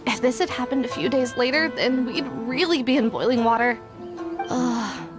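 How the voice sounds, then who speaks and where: A woman's voice: a little high, moderate pace, natural voice. A woman voicing a friendly young female editor in a video game, breezy and reassuring. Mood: brisk. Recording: game audio with music behind.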